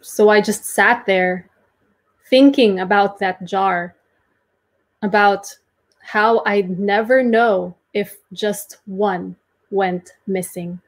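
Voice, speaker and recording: A young adult woman giving a dramatic reading of first-person prose in a measured, deliberate storytelling manner, recorded indoors at home. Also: mid-range voice, steady tone. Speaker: performer reading a literary piece. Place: small domestic room, indoors.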